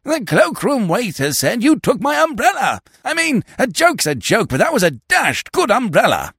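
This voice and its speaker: A man voicing an excitable upper-class young clubman, loud, spluttering and indignant, in an audiobook. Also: extremely high pitch, normal speed, creaky, husky, dry recording, clean studio sound, pitched above the performer's own voice, full character voice. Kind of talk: audiobook character voice.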